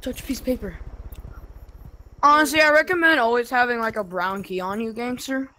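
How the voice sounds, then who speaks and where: A young streamer chatting casually with viewers in slang during a game. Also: female voice, on the high side, a little fast, bright, forceful tone, low-quality recording. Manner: slangy, talks to chat. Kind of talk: casual gaming chat to viewers.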